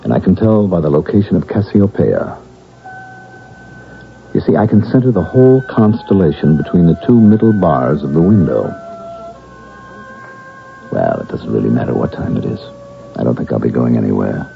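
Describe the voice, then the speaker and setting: An actor in a vintage radio drama playing a confined professor, precise, musing and troubled. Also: male voice, very deep voice, animated, vocal fry, faint music behind, full character voice. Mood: resigned musing. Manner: deliberate, pedantic, musing. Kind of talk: 1970s radio drama acting. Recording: tinny vintage radio broadcast.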